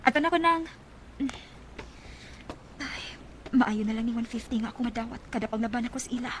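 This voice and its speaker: A woman's voice: a little high, brisk, a little hiss or hum, natural voice. A woman playing a poor, overburdened mother fed up with her irresponsible husband, acting in a Filipino radio drama with a Cebuano accent. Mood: weary, hopeful.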